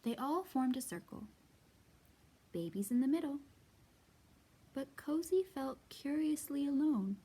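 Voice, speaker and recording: A woman's voice: high voice, expressive, a little slow, long pauses, dark tone. A woman hosting a children's storytime, speaking in simple, encouraging language meant for young children, as she reads and leads puppet play. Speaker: children's library storytime host. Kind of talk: children's storytime reading, puppets and sing-along. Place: indoors in a library.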